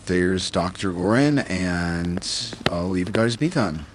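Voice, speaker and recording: A man's voice: sits low, lively intonation, slow pace, slight background noise. A man playing a polite, businesslike clinic assistant in an amateur roleplay drama. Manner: courteous, businesslike.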